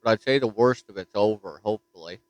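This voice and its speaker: A man's voice: lowish voice, quick pace, background noise. Easygoing Newfoundland man on the radio. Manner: easygoing, says "roger that". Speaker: ham radio operator. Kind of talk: casual radio chat. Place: home radio station.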